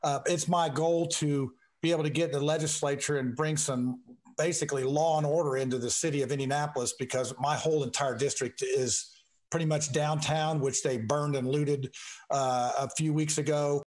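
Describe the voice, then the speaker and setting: A man from the United States chatting informally as a political candidate on a call-in show, folksy, halting and joking. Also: on the high side, fairly even intonation, a little mellow. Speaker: state house candidate and talk show guest.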